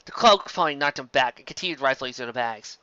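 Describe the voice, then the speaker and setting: A man reading fan fiction aloud as an amateur narrator, expressive and occasionally stumbling over words. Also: mid-range voice, fast talker, no room sound, dull audio.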